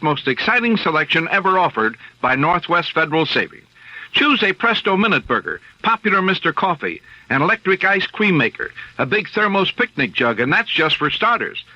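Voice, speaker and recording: A male announcer delivers an upbeat scripted radio ad. His voice is very bright and lively, a little high, on a dry, slightly dull recording. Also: dry recording. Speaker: commercial announcer.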